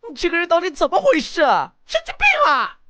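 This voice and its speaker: A shrill, swooping petulant young man. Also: wildly swooping pitch, brisk, sharp tone, dry recording, heavily acted. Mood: petulant, indignant. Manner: theatrical, petulant. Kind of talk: animated drama dialogue.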